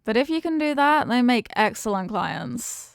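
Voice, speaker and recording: Woman acting as a smooth, doting female mafia boss. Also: a little high, big pitch swings, normal speed, no echo, studio quality. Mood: musing, assured. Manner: teasing, streetwise slangy talk with pet names. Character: confident, doting female mafia boss, tough but affectionate. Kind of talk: intimate ASMR-style roleplay acting.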